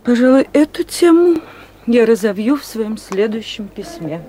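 A woman's voice: fairly high pitch, sing-song, normal speed. An actress playing a lovelorn female office worker, hurt and wryly sarcastic, in a Soviet radio comedy. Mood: bitterly ironic. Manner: wry, wounded, sarcastic.

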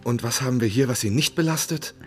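A man's voice: medium pitch, fast, soft background music, natural voice. Earnest troubled young writer played by a man. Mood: uneasy. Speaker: actor in a radio play. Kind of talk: German radio drama acting.

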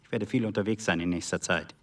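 An actor playing a middle-aged, terse, stolid shopkeeper husband who secretly works as an informer, in a radio drama. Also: male voice, low voice, quick pace, a bit bright, distant mic. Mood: stolid, guarded.

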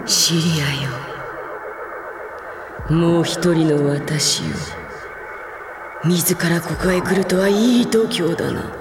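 An actress playing a woman's arrogant, menacing dark other self in a Japanese anime audio drama, haughty and taunting. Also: lowish voice, slow, long pauses, noisy background. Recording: echoing voice over music.

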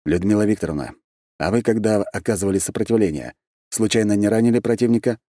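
Audiobook hero man acting: impatient, commanding archmage, low voice, clipped manner, close dry recording. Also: fast, mellow, dry recording, natural voice. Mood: probing, dry. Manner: curt, commanding. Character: stern, commanding male archmage hero, impatient and dryly sarcastic. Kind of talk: audiobook character voice.

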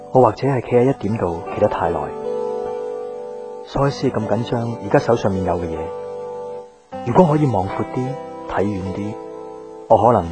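A low-pitched young man acted by a man in a Cantonese radio drama from Hong Kong. He is brooding and guarded, speaking like someone lost in his own thoughts. Guitar music plays clearly behind him. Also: natural voice. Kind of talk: radio drama acting with introspective monologue.

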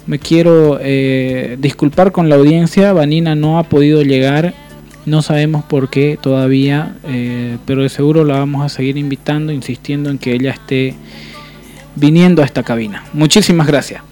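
A man's voice: fairly high pitch, steady tone, normal speed, mellow, soft background music. A man with a Bolivian Spanish accent co-hosting a radio panel, speaking off the cuff in an opinionated, reflective way. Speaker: radio show co-host. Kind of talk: unscripted radio panel talk. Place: radio booth.

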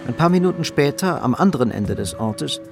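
A man's voice: medium pitch, lively intonation, brisk, vocal fry. Casting: male narrator for radio thriller, mid-range voice, scripted storytelling, faint guitar music underneath.

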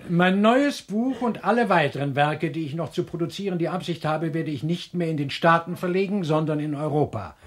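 Husky, self-important celebrity author. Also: male voice, fairly high pitch. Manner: measured, formal, declarative. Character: famous American bestselling male author, self-important, formal. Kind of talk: radio drama press statement.

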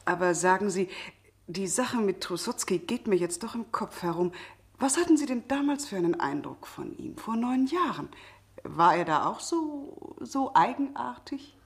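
A woman's voice: medium pitch, lively intonation, normal speed, close to an everyday voice. An actress playing a worldly middle-aged society lady in a 1960s German radio drama, playfully ironic and inquisitive. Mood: teasing, inquisitive.

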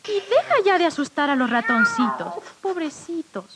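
A woman playing a sweet, gentle young servant girl, tender and polite, on an old children's story record. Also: very high-pitched, big pitch swings, normal speed, very noisy. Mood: tender, cheerful. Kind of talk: 1950s children's record acting. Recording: old 78 rpm record with loud music.

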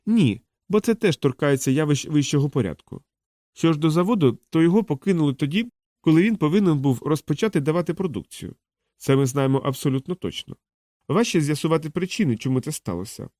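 Thoughtful male physicist for audiobook, read by a man, measured explainer, dry close recording. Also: on the high side, animated, dark tone, dry recording, voice pushed up, close to an everyday voice. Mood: explaining.

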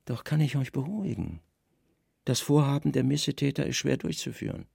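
A man's voice: mid-range voice, animated, fairly quick, creaky, darkish tone, slightly rough, no room sound, studio quality, voice pushed up, heavily acted. A man playing a stern Roman secret service commander in a radio play, coolly cunning, formal and deferential. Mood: assured, sly.

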